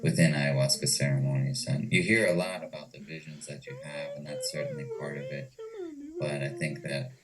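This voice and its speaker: A man with an American accent giving reflective, measured answers as an interview guest. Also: rumbling low, fairly even intonation, a loud crowd. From United States. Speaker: interview guest, ayahuasca retreat owner. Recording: distant mic with clear music behind.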